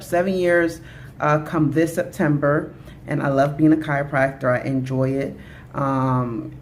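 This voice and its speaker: A woman's voice: a bass voice, a little hiss or hum. A woman in her thirties from Jamaica, vlogging in a chatty, upbeat way to her viewers, with many "you know"s. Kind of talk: casual talk to camera.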